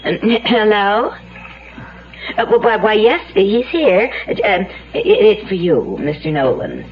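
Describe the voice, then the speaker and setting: A woman's voice: mid-range voice, very animated, measured pace. Fussy, doting old mother, muffled. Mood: flustered. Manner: homely, fussy, rambling. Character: chatty, doting older mother of a convict. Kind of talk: vintage radio crime drama acting. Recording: dull, noisy old radio recording.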